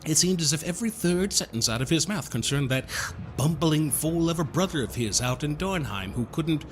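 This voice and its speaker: A man playing a suave gentleman stranger in an audio drama, florid, over-polite and slyly mocking. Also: fairly high pitch, expressive, normal speed, a little hiss or hum, natural voice. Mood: courtly, needling.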